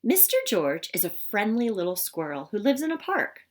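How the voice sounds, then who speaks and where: Animated woman reading a children's storybook. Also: mid-range voice, moderate pace. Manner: expressive, teacherly, explains the pictures simply. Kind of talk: children's read-aloud with explanatory asides.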